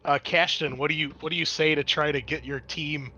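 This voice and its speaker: A man acts as game master with a sharp, bright voice. He moves between narrating scenes and explaining rules, keeping it conversational and explanatory. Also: a little high, steady tone, a little fast, muffled recording. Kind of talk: narrating and refereeing a tabletop game.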